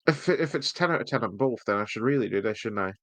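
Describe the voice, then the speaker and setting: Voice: medium pitch, expressive, fast, a little hiss or hum. A podcast co-host chatting casually through a review in a playful, jokey way.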